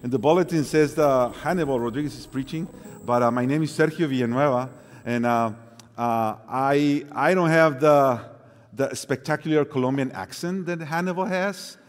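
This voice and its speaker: A man's voice: medium pitch, moderate pace. Mexican-accented man preaching in a hall. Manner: lively reading with hesitant fillers in speech. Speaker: guest preacher. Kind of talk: scripture reading and sermon. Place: large church sanctuary. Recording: long hall reverb.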